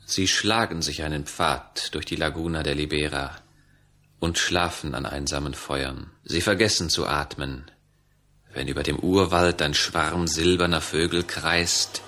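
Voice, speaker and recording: An actor playing a grave storyteller, narrating a radio drama in poetic scripted prose. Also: male voice, a bass voice, steady tone, measured pace, pushed and bright, heavily acted. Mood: grave, lyrical. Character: grave male storyteller of a jungle tale.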